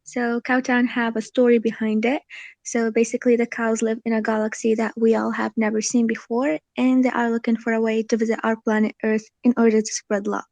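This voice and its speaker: A nineteen-year-old woman, a guest creator giving an informal, polite and earnest pitch for a project. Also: little pitch movement, fairly quick, a little mellow, no echo.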